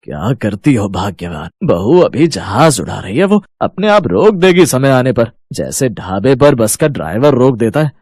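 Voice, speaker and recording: A folksy older father-in-law from a cartoon comedy, acted by a man. His mid-pitched voice rambles unabashedly with big swings in pitch, recorded very dry. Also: sing-song, moderate pace, few pauses, a little mellow, slightly rough, dry recording. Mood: folksy, amiable. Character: earthy, comic older Punjabi father-in-law.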